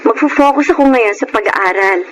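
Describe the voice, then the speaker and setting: A woman's voice: on the high side, expressive, normal speed, a bit bright. A woman acting an earnest, emotional young girl in a Filipino radio drama. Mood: calm, determined. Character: Michelle, earnest tearful overweight girl striving to slim down. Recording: noisy radio drama recording.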